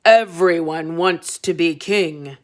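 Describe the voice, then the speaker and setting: A woman's voice: lowish voice, takes it slowly. A woman voicing a stern, blustering king who scolds his son emphatically in a children's storybook read-aloud. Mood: stern, indignant. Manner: emphatic, roaring.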